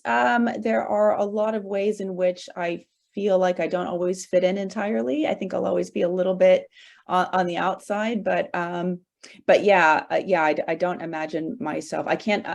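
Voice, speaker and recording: Low, gravelly woman from Arkansas. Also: a little mellow. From Arkansas, USA. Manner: hesitant, many fillers and restarts. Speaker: interview guest, writer. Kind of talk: casual interview conversation.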